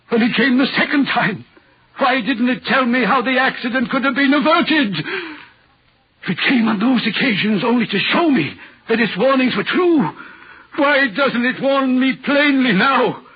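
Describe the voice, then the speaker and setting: An actor playing an anguished, haunted signalman in a 1940s radio drama, halting and tormented. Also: sits high, husky, exaggerated voice. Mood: anguished, desperate. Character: anguished, haunted railway signalman crushed by responsibility. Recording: muffled vintage 1940s radio recording.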